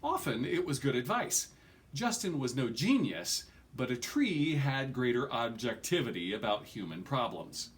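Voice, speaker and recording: Man in his forties, animated storybook narration, swooping intonation, dramatic stresses, medium pitch. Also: a little slow. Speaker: reader of an audiobook. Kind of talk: expressive read-aloud of a fantasy novel. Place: small furnished room at home, indoors.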